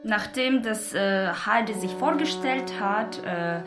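Woman, very slow hesitant retelling, echoey room, loud plucked guitar. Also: medium pitch, expressive. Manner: halting, self-correcting. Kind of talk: spoken retelling of a film. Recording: roomy sound under loud guitar music.

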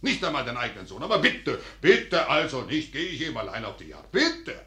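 Blustering, animated grumpy father. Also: medium pitch, fairly quick, roomy sound. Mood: offended, sulky. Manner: blustering, muttering, sulky. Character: grumpy, domineering landowner father, easily offended. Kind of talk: German radio play acting.

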